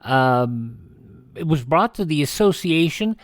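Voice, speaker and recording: An older American man hosting a radio show, chatting casually through dates and birthdays with rambling, folksy asides and hesitant pauses. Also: a little high, expressive, no room sound, clean studio sound. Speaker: radio host.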